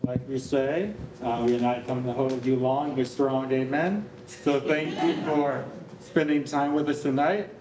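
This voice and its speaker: A man's voice: medium pitch, fairly even intonation, measured pace. A young man co-hosting an event, giving informal remarks to the audience. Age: young adult. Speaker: event co-host. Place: large bare concrete rehearsal hall. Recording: distant, echoey room with background noise.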